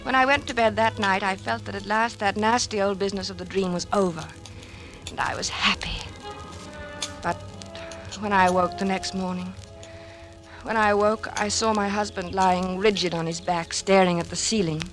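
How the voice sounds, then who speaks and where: Lady playing worried devoted wife, old time radio suspense narration, medium pitched, bit of music under it. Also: fairly even intonation, normal speed, lightly acted. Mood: grave narration. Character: anxious, devoted wife narrating her husband's decline.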